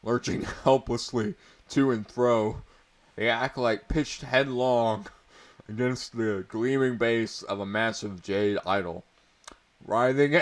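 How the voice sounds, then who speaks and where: A man narrating a story aloud in a portentous, mock-dramatic way, with amused asides. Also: mid-range voice. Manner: portentous, breaking into amused commentary.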